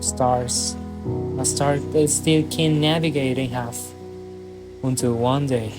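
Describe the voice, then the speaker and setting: A young man playing a pensive, conflicted bachelor in his thirties in a radio drama, speaking in an earnest, measured way. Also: mid-range voice, steady tone, natural voice. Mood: wistful, pensive. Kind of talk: radio drama acting, reflective monologue. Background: prominent music.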